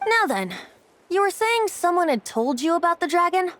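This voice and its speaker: A woman's voice: extremely high pitch, very animated, moderate pace, a little hiss or hum, exaggerated voice. A woman voicing a playful, secretive young male bard in a video game cutscene, talking in a lilting, teasing way. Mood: solemn. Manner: lilting, teasing, then soft and solemn. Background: game soundtrack music.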